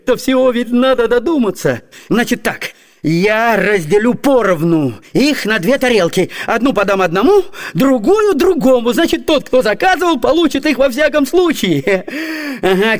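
A man playing a gluttonous, scheming, cheeky young servant in a comic radio play, theatrically muttering and exclaiming to himself. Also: very high voice.

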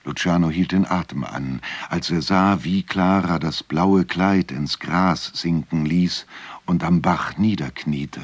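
A man narrating a village legend in a radio play as a measured storyteller with a husky voice. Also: low voice, no room sound, heavily acted. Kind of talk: scripted literary tale narration.

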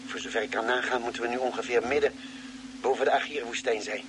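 An actor playing a patient, commanding male spaceship doctor in a Dutch radio drama, speaking deliberately. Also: mid-range voice, steady tone, lightly acted. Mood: calm, patient. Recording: noisy old radio drama recording.

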